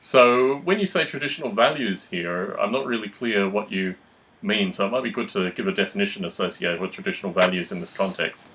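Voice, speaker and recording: A man talking reflectively in conversation, measured, analytical and articulate. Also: fairly low pitch, a bit bright, slight background noise, poor audio. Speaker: podcast host.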